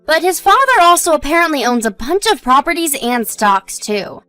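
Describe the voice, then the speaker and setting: A woman acting a high-voiced devoted young wife. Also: big pitch swings, moderate pace, sharp tone, faint music behind, full character voice. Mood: explaining, friendly. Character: devoted young wife, gentle, modest, loyal to friends. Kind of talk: scripted dialogue in an audio story.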